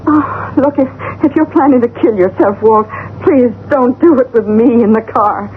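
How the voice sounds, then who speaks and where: A woman acting a harried suburban wife in a radio play. She sounds nervy and weary, complaining her way through middle age. The old broadcast is tinny, with clear background noise. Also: on the high side, normal speed, natural voice. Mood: alarmed, upset. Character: frazzled, overwrought suburban wife and mother. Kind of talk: 1970s radio drama acting.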